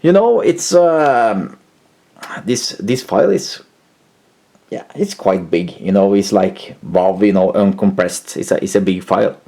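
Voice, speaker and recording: A man's voice: lowish voice, lively intonation, a little slow, gravelly, warm tone. A man giving a casual, unscripted computer demonstration, hesitant and often searching for words, as the host of a retro tech video. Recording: music playing behind the voice. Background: music from computer audio player.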